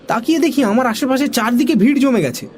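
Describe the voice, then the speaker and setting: A woman narrating a children's story in an expressive, dramatic storytelling style. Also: high voice, quick pace.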